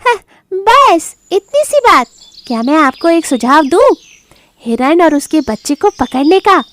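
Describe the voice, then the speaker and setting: A woman voicing a sly, flattering fox in an animated moral story, with a wheedling sing-song delivery. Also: very high voice, measured pace, voice pushed up, exaggerated voice. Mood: eager, coaxing. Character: sly, flattering fox advisor to a lion king.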